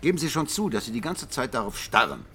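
A man's voice: mid-range voice, very animated, fast talker, sharp tone, slight background noise. A man playing a blind music critic in a radio drama, self-mocking, theatrical and brooding in his confessional talk. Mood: sardonic, insistent. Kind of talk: radio drama monologue and dialogue.

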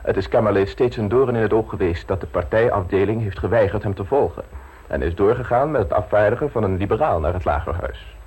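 An actor playing a suave, ambitious gentleman politician in an old radio play, polished, self-assured and teasingly frank. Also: lowish voice, expressive, fast talker, slightly rough, poor audio. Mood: assured, scheming.

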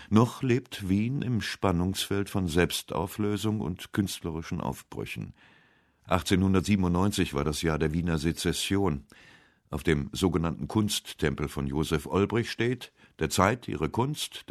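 A man narrating a scripted literary radio essay with measured, deliberate phrasing. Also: rumbling low, no room sound, studio quality. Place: dry radio studio.

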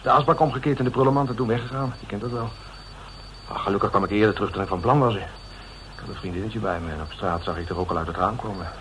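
An actor playing a streetwise, defensive suspect in a Dutch radio drama, talking in a colloquial, sardonic way that turns hesitant when cornered. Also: male voice, on the low side, quick pace, fairly bright tone, natural voice. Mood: defensive, evasive. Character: cynical, evasive male suspect, streetwise and defensive. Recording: noisy old radio drama recording.

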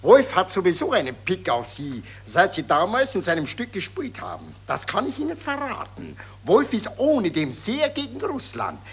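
An actor playing a sly, gossipy journalist in a 1940s German radio play. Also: male voice, sits high, huge pitch swings, moderate pace, few pauses, slightly rough, full character voice. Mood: insinuating. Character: sly, gossipy male Viennese journalist giving veiled threats.

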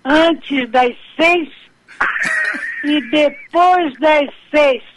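A woman's voice: high-pitched, very slow, noisy background. A woman in her seventies chatting casually as a guest on a radio show. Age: 70s. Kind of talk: casual chat.

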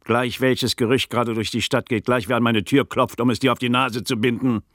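An actor playing a grumpy, eloquent scholar in a radio crime play, with a sardonic, florid and commanding manner. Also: male voice, mid-range voice, little pitch movement, a little fast, fairly bright tone, no room sound, clean studio sound, natural voice. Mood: grumpy, mocking.

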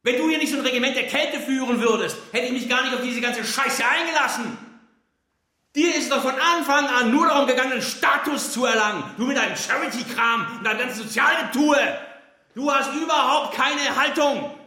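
A bitter, self-righteous male politician in a radio play, in an echoing room. His voice is strained very high and sharp, with a forceful, ranting delivery. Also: a little fast. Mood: furious. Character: self-righteous, hen-pecked male politician boiling over. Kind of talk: dramatic German radio play acting. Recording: roomy echoing interior.

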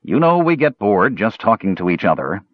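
A man's voice: on the low side, big pitch swings, moderate pace, dry recording, phone-quality sound. A man voicing an easygoing, long-suffering warrior, plain and a little hesitant, as an audiobook character. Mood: grumbling, easygoing. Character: easygoing, slow-witted male warrior, long-suffering companion.

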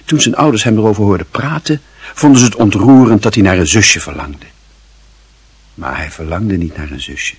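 A man narrating a literary radio drama in a solemn, measured and expressive manner. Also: on the low side, breathy edge, no room sound.

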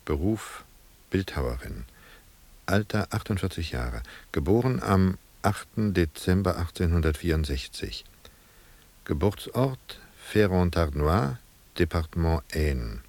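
An official registrar in a radio drama, reading records aloud with cold detachment. His voice is very low, and he takes each clipped entry very slowly. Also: expressive, darkish tone, exaggerated voice. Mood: detached, official. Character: impassive asylum registrar reading out admission records.

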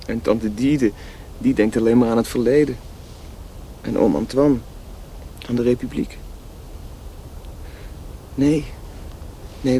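A man acts as an earnest teenage boy in love in a radio play. He speaks softly and haltingly, in an intimate way. Some noise lies behind the voice. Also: mid-range voice, lightly acted. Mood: tender. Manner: soft, halting, intimate speech. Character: tender, earnest seventeen-year-old boy in love, young rebel. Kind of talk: radio drama acting. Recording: noisy radio play, partly echoey and distant.

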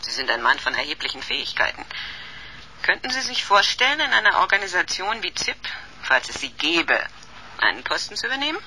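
Sharp, haughty villainous professor. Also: female voice, lowish voice, sing-song, a little fast, slight background noise, heavily acted. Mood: coolly persuasive. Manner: formal, condescending, coolly clinical. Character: cold, haughty female professor, scheming villain of a secret organisation. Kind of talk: 1980s German radio sci-fi crime drama acting.

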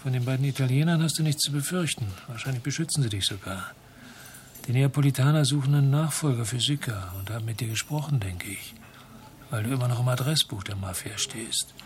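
An actor playing a weary, dogged French detective who narrates his noir radio crime story in a measured, laconic way. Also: mid-range voice, a little hiss or hum, lightly acted. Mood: pressing, low-key. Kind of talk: first-person narration in a noir radio drama.

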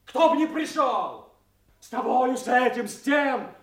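A villainous young noble of classical tragedy, bold and swaggering. His delivery is slow and declamatory, leaping widely in pitch, with a roomy echo around it. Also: expressive, heavily acted. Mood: fierce defiance. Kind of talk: classical theatrical audio drama acting.

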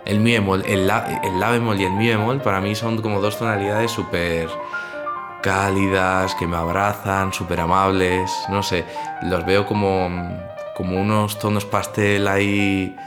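A man's voice: low voice, flat delivery, a little slow. A man talking casually and reflectively, hesitating as he searches for words. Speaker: pianist. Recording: music under the voice. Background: clear music.

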